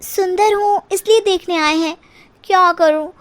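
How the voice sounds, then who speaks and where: A woman's voice: extremely high pitch, measured pace, voice pushed up. A woman voicing a vain, pampered young daughter-in-law in a cartoon, with a coy, whining, self-admiring manner.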